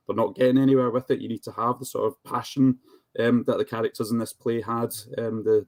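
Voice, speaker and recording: Low-voiced man on a panel. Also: a little mellow, no echo. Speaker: charity representative on a panel. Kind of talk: panel discussion.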